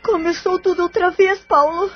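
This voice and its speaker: A woman's voice: extremely high pitch, fairly even intonation, normal speed. A woman playing an anxious wife with a troubled home in an audio drama. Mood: distressed, reluctant. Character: anxious wife seeking help for her haunted home. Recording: muffled lo-fi audio with music.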